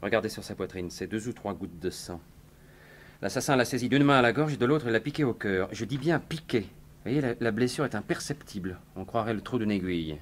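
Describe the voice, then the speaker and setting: An actor playing a commanding, inquisitive gentleman sleuth in a French radio mystery, polite and assured. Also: fairly low pitch, expressive, brisk. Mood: grave, assured. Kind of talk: 1950s-style French radio mystery acting.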